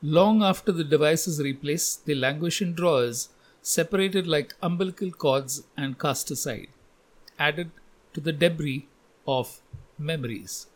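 A man's voice: fairly high pitch, measured pace. A man hosting a podcast and reading scripted narration. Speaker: podcast host.

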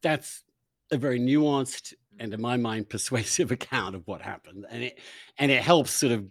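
A man hosting an informal interview, thinking aloud haltingly with repeated phrases and casual swearing. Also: medium pitch, no echo, clean studio sound. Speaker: interview host. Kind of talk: informal, opinionated interview conversation.

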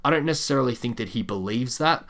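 A man co-hosting a sports podcast, giving measured, candid analysis in a casual discussion. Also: mid-range voice, expressive, vocal fry, a bit bright.

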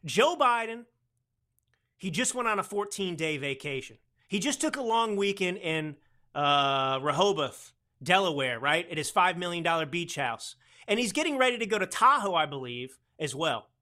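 Bright-voiced sarcastic male podcast host. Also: sits high, very animated, normal speed. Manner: sarcastic, mocking, rambling with restarts. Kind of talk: political commentary podcast.

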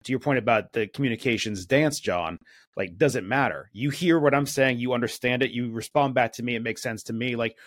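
A man's voice: mid-range voice, fairly quick, dry recording, studio quality. A man co-hosting a podcast, speaking conversationally with plenty of fillers. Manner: conversational, frequent fillers, says he swears a lot. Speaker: podcast co-host. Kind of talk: casual discussion.

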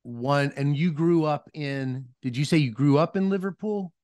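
A man from Mississippi, casually interviewing a guest with halting, informal questions. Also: a little high, darkish tone. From Mississippi, United States. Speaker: podcast host, interviewer.

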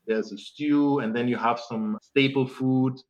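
A man's voice: medium pitch, unhurried, muffled recording. A man in his forties from Germany, chatting casually as a guest in an interview, often saying "you know". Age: 40s. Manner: relaxed, rambling, many "you know" fillers. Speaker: founder, guest.

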